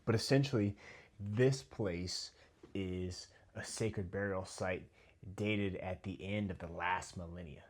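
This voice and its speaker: Heated American man, slightly low voice. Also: fairly even intonation. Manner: heated, halting with repeated restarts and sudden drops in volume. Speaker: video commentator. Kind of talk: casual monologue commentary.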